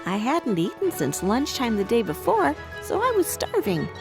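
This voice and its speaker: A woman narrating a scripted bedtime story for young children in an expressive way, telling it as the main character. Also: fairly high pitch, normal speed, music in the background.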